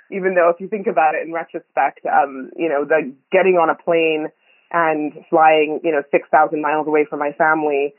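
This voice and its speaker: A woman's voice: low-pitched, dry recording. A woman from Kenya, a podcast guest, talking reflectively with many ums and frequent laughs. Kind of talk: conversational interview. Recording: tinny phone line, dry.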